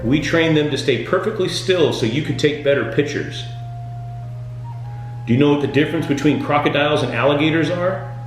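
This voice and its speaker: A man delivering scripted comedic tour-guide patter as a boat skipper, with deadpan, punning jokes. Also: on the high side, fairly quick. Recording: very echoey room, music underneath.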